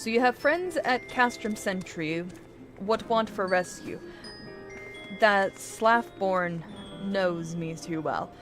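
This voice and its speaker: A woman voicing a gruff, grudge-bearing mercenary ally from a fantasy game, cocky and blunt. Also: mid-range voice, lively intonation, takes it slowly, faint music behind. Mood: vengeful.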